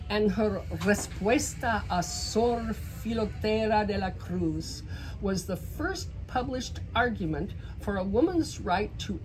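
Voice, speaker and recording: A woman reads a picture book aloud to children, pausing for emphasis and speaking directly to her young listeners. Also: medium pitch, a little mellow, a little hiss or hum, poor audio. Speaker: children's story reader. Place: bookstore in a shopping center.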